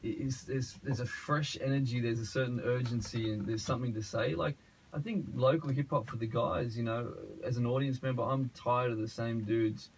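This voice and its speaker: A man hosting a casual radio interview, informal and ready with his own opinions, in a gravelly voice. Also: medium pitch, fairly even intonation, normal speed, a little hiss or hum, rough recording. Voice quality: gravelly, husky. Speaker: radio show host. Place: radio studio.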